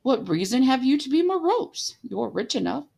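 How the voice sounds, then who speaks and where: A woman voicing a cheerful, warm-hearted young man in a storybook reading, bright and good-humoured. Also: sits high, very animated, moderate pace, gravelly, voice pushed up, exaggerated voice.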